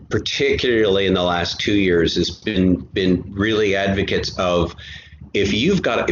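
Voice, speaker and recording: A man with a fairly low voice chats as a podcast guest. He is talkative and keeps rewording his thoughts. The recording is roomy, slightly muffled and faintly noisy. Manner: talkative, repeats and rephrases himself. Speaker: podcast guest, audio drama creator. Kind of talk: casual conversational interview. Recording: roomy, dull lo-fi, faint noise.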